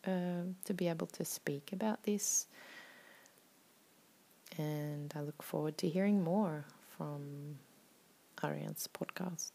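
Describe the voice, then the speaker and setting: A woman poet speaks casually as a podcast guest, pausing on ums and letting her words trail off softly. Her voice is very low with a gravelly fry in it. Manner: hesitant, many ums, soft trailing-off words. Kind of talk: casual interview talk.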